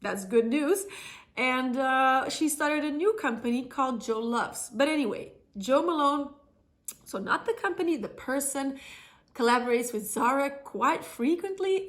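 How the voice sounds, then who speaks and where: A woman's voice: sits high, a bit bright. Chatty woman with a stuffy nose. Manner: chatty, many fillers and self-corrections. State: congested from a cold, slightly nasal. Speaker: fragrance vlogger. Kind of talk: casual review chat.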